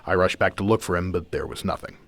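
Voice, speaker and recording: A man as stern, low-voiced masked vigilante. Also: fast talker, a bit bright. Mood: grave, recounting. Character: stern, secretive masked vigilante father, stubborn and protective. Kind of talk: amateur superhero radio drama acting. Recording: music bed behind the voice.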